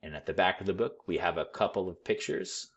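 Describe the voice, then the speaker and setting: A man reading a picture book aloud to children in an expressive, teacherly way. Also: deep voice, brisk, husky, lo-fi sound. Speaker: school read-aloud storyteller.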